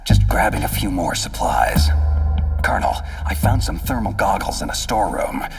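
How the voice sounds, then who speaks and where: An actor playing a gruff, stealthy special-forces soldier in a video game drama, speaking tersely in a gravelly, breathy near-whisper. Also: male voice, lively intonation, moderate pace, distant mic, full character voice. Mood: alert, determined. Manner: terse, low-voiced radio reporting. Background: music.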